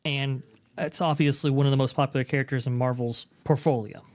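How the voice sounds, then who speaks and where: A man co-hosting a casual chat, talking in a measured way with many fillers. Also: mid-range voice, fairly even intonation, a little mellow. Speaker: podcast co-host.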